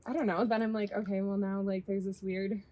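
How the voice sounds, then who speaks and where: A woman's voice: mid-range voice, level tone, a little fast, dark tone. A woman who works as a restaurant manager, talking in a chatty, hesitant way with many fillers. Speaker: restaurant assistant general manager. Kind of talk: casual chat.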